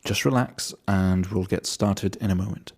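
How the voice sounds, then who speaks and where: An actor playing a calm, clinical psychiatrist who speaks in a soothing, deliberate, coaxing way in a video game drama. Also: male voice, sits low, creaky, husky, heavily acted. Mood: calm, reassuring. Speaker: doctor leading a therapy session.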